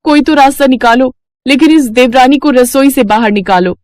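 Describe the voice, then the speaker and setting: A woman's voice: high-pitched, moderate pace, a little mellow, no room sound, pitched above the performer's own voice, close to an everyday voice. A woman voicing a hapless young daughter-in-law, anxious and complaining, in a cartoon story. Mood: exasperated. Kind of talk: Hindi cartoon story dialogue.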